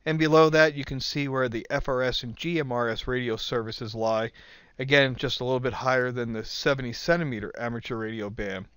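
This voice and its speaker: A man's voice: mid-range voice, steady tone, fairly quick, a bit bright, clean tone, no room sound. A man narrating an instructional video in a plain-spoken, methodical, informal way. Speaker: host of an instructional video channel. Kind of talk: explanatory slide narration, informal.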